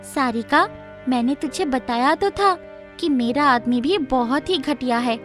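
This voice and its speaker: Anxious, caring girlfriend role actress: very high pitch, urgent advice, children's story with music. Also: a little slow, fairly bright tone. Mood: surprised, worried. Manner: urgent, advising. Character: worried, caring female friend of the heroine. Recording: music playing behind the voice.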